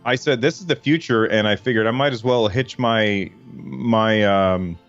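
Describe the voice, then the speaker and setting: Low-voiced, hesitant podcast guest. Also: male voice, normal speed, a bit bright, dry recording, muffled recording, soft background music. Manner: hesitant, lots of fillers and restarts. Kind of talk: casual podcast chat.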